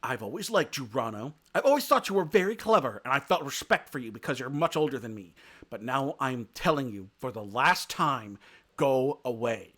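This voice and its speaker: A man's voice: mid-range voice, expressive, normal speed, a bit bright. A man reading aloud and voicing a young male deer who is earnest, lovestruck and insistent. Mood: stern, restrained. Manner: pleading, breathless, emphatic.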